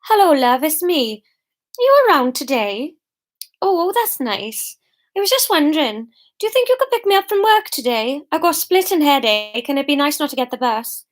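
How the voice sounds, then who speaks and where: A narrator plays a scorned Welsh shop worker in an audiobook. She is fierce and wounded, with a high-pitched female voice. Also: very animated, normal speed. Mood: sweet, casual. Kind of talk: audiobook character dialogue.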